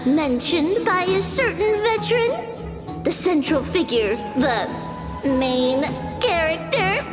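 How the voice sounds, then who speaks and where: Very high girlish fairy storyteller. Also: lively intonation, slow pace, full character voice. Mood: solemn storytelling. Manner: grandiose, theatrical storytelling. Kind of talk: dramatic storybook narration in a video game. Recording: long reverb, loud music behind.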